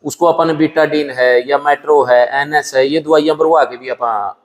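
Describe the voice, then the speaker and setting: A man's voice: a little high, fairly even intonation, moderate pace, in a large hall. A man with a Haryanvi accent, a dairy farmer giving practical advice in a plain-spoken, colloquial way in a casual interview. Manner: plain-spoken, colloquial, often addresses listener as brother. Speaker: dairy farmer and buffalo breeder.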